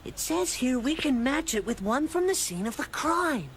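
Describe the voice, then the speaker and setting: A woman's voice: sits high, sing-song, moderate pace, very noisy. A woman voicing an eager, self-important little boy in a children's cartoon, earnest and pompous. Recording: loud music behind.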